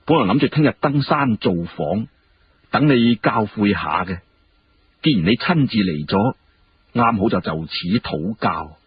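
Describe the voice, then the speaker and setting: A genial, modest young minister from a historical storybook, played by a male narrator. He explains politely and knowingly in a very husky voice with lively rises and falls. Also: lowish voice, measured pace, muffled recording, heavily acted. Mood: humble, respectful.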